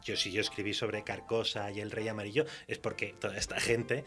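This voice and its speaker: A man with an accent from Spain speaking as a guest author in a conversational radio interview, halting as he thinks aloud. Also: lowish voice, little pitch movement, a bit bright, soft background music.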